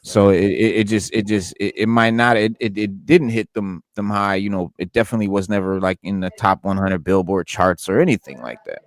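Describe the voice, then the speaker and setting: A man's voice: on the low side, brisk, hardly any pauses, no room sound, clean studio sound. A man in his forties co-hosting a casual hip-hop chat, emphatic and loose, with plenty of profanity and stammered restarts. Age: 40s. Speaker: podcast co-host.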